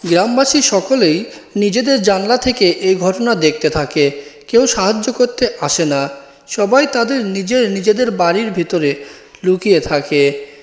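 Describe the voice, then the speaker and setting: A man's voice: high voice, expressive, a little slow, roomy sound, in a large hall. A man narrating a scripted cartoon story.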